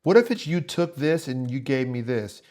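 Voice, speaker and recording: A man's voice: mid-range voice, lively intonation, moderate pace, darkish tone. A man hosting a casual songwriting tutorial, talking to viewers in a conversational, encouraging and explanatory way. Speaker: host, worship songwriting teacher.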